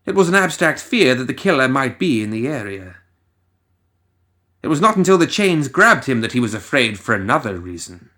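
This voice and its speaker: A man giving a dramatic, scripted story narration in a deliberate, theatrical manner. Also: medium pitch, sing-song, bright, forceful tone. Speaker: audiobook story reader.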